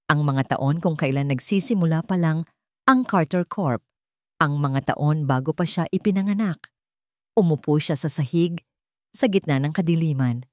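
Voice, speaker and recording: A woman storyteller reading scripted dramatic narration in a deliberate, suspenseful manner. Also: deep voice, mellow, no echo, studio quality.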